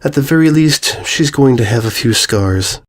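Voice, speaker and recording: A man voicing a calm, regal female pony ruler, gracious and condescending, with unfeeling menace, in a horror story. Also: mid-range voice, moderate pace, natural voice. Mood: coolly menacing. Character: serene, regal mare ruler, politely sinister and unfeeling. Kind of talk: dramatized horror fan fiction reading.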